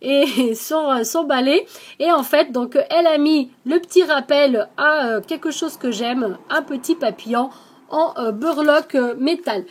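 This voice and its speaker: A woman with a high-pitched voice and an accent, hosting her own craft channel. She talks in a relaxed, chatty way, filling her sentences with little hesitations as she shows off the crafts she was sent. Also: measured pace. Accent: non-native French accent. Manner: chatty, lots of hesitations and "donc". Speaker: scrapbooking channel host. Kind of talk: casual show-and-tell of craft mail.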